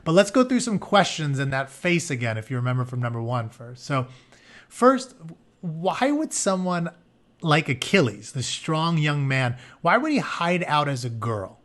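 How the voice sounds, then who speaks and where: Higher-pitched man reading a story aloud. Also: big pitch swings, moderate pace, fairly bright tone. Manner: informal, explanatory. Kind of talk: expressive read-aloud with casual explanatory asides.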